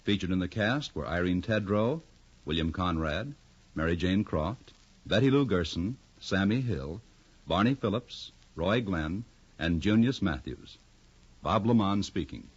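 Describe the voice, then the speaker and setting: An American man announces in a polished 1950s radio manner. His voice is husky and swings widely for emphasis. The recording is old and muffled. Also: sits low, expressive, a little slow. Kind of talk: 1950s radio announcing, scripted credits and promos.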